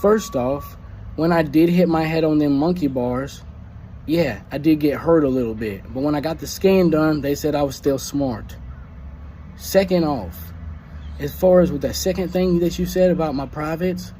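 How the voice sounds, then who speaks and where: A man taunts his critics in a played-back video clip, brash and mocking. His voice is mellow and soft-edged, with a faint hiss of noise underneath. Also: fairly high pitch, moderate pace. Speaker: internet creator. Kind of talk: mocking smack-talk video.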